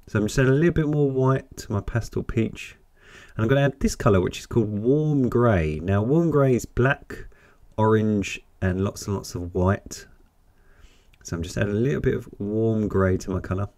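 A man with a cold, croaky and deep, chatting through a step-by-step painting lesson with an encouraging manner. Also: animated, normal speed, dark tone, dry recording. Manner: chatty, encouraging, thinks aloud while painting. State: hoarse with a cold. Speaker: painting teacher.

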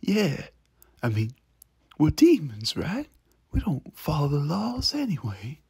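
A man acting a cocky young demon, swooping pitch. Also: wildly swooping pitch, slow pace, darkish tone, slightly rough, no room sound, studio quality, full character voice. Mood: flustered, pleading. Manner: stammering, flustered, informal. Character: brash, cocky young male demon, a teenage son with a soft heart. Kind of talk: ASMR audio roleplay acting.

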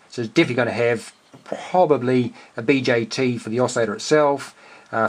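A middle-aged man explaining a hobby project casually at his desk, unscripted, hesitant and understated as he thinks aloud. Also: lowish voice. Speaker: amateur radio hobbyist and video host. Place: small indoor room at a cluttered desk workbench.

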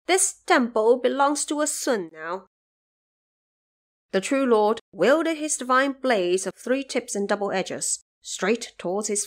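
A woman narrates a scripted audio drama. Her pitch moves freely up and down as she tells the tale, at a middling height overall.

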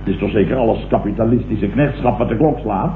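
A man's voice: lowish voice, fast, close to an everyday voice. A Dutch man playing a pompous, indignant middle-aged businessman who sputters and declaims in a radio comedy. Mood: indignant, grandiose. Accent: Dutch, from the Netherlands. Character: blustering, pompous middle-aged businessman, opportunistically turned leftist. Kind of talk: 1960s-70s radio comedy acting. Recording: tinny, echoey, hissy old radio recording.

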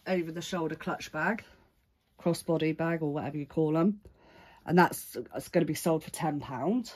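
Woman, low pitch, stuffy cold voice, chatty listing of prices, phone mic indoors. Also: darkish tone, a little hiss or hum. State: bunged up with a cold. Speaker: crafter showing her handmade stock. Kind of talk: casual show-and-tell vlog. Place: indoors at a craft table.

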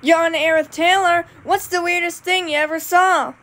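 A female call-in host in a game's radio drama. Her voice is high, polite and a little bored, with faint noise behind it. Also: fairly even intonation, moderate pace, a bit bright. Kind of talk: radio drama acting in a video game.

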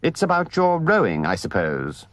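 A man voicing a sceptical, stubborn river rat from a storybook, speaking in a measured, drily understated way. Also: mid-range voice, wildly swooping pitch, no echo. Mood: innocently teasing. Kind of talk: storybook character voice.

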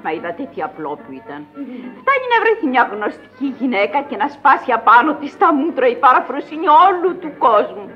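Imperious old countess, dull vintage recording. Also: female voice, sits high, very animated. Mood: brisk, triumphant. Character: imperious eccentric old countess, motherly and commanding. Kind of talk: vintage Greek radio theatre acting. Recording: dull vintage radio recording, music behind.

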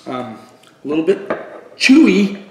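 A middle-aged man giving a casual wine review on video, thinking aloud haltingly and repeating words for emphasis. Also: fairly high pitch, big pitch swings, a little mellow. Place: living room at home, indoors. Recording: echoey room.

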